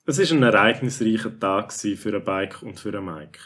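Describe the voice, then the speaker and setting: A children's story narrator with a fairly low voice and a Swiss accent, performed by a man. He recaps the tale as a friendly storyteller. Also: animated, normal speed, a bit bright. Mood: storytelling.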